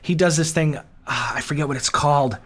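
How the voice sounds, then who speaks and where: Casting: male co-host, somewhat higher pitch, informal chat that gropes for the next word. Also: fairly quick, fairly bright tone. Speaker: podcast host. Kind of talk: casual chat.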